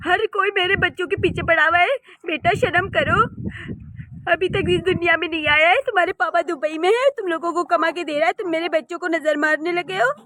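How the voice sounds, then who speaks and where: A woman's voice: extremely high pitch, a little fast, bright, forceful tone. A woman voicing a scolding village mother. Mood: weary. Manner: scolding, shushing, weary. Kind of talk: cartoon voice acting.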